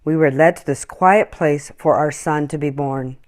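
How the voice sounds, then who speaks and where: A woman voicing a gentle young mother in a storybook, soft and serene. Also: deep voice, fairly even intonation, normal speed, lightly acted. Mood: calm, gentle.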